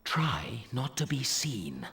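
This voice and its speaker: Here is a kindly wizard professor in a video game. He explains things gently and earnestly, in a middle-register voice with very varied, measured intonation. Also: medium pitch, sing-song, gravelly, heavily acted. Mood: hurried, discreet. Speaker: teacher. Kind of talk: video game cutscene acting.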